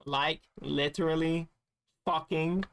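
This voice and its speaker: Tired gravelly-voiced male streamer. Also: a little high, steady tone, slow, a bit bright. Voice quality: raspy, gravelly edge. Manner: loose, rambling, swears casually. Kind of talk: casual gaming stream chat.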